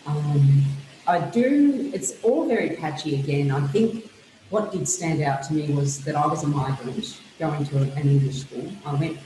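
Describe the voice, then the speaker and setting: A woman's voice: low voice, animated, a little slow, dark tone, roomy sound, a little hiss or hum, low-quality recording. A woman author, whose was Greek, thoughtfully recalling her childhood in an interview. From Australia. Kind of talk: reflective interview answers.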